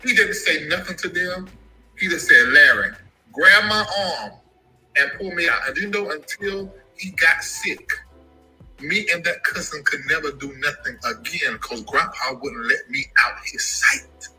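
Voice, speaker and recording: A man telling an emotional personal story about his family, animated and given to sudden high shouts. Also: unhurried, soft background music, low-quality recording. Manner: animated, jumps into high-pitched shouts when quoting.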